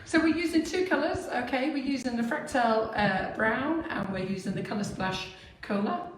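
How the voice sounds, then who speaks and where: Squeaky chatty lady doing a cake demo, kitchen super echoey, mic kinda far. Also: animated, normal speed, a little hiss or hum. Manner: chatty, laughs often, explains steps plainly. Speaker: baking show co-host. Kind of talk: live cake decorating demo. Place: home kitchen in Spain.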